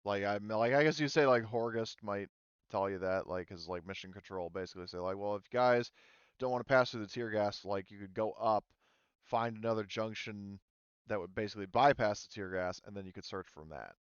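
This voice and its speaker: Low, smooth-voiced man running a game. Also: no room sound, highs cut off. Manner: halting, informal explaining with frequent "like". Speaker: game master. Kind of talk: casual tabletop game narration.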